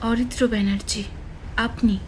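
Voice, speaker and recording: Woman playing a high-voiced sceptical researcher. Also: steady tone, moderate pace, darkish tone, a little hiss or hum, lightly acted, rough recording. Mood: hushed, astonished. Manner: earnest, challenging, articulate. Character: sceptical female researcher, rational, bold, truth-seeking. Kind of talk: horror audio drama acting.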